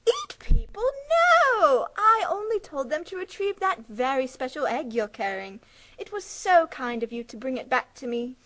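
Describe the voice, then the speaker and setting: A woman's voice: high voice, sing-song, normal speed, sharp tone, exaggerated voice, poor audio. A woman playing a scheming, sweet-talking old female Easter Bunny villain in a video game cutscene, syrupy and menacing. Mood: feigned innocence. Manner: syrupy endearments turning to maniacal cackling menace.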